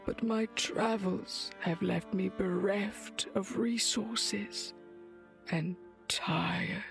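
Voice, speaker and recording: A woman's voice: medium pitch, fairly even intonation, creaky, over music. An actress playing a weary, dread-laden mystic witch in an audio drama, speaking in an ominous, theatrical way. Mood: weary, grave. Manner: slow, ominous, theatrical storytelling.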